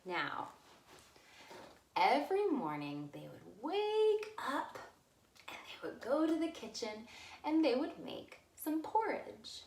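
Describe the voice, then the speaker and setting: Slow, animated woman storyteller, echoey room. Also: high-pitched, a little hiss or hum. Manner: simple, deliberate phrasing addressed to small children. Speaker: children's librarian storyteller. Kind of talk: expressive storytime storytelling for young children. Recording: roomy, echoey room sound.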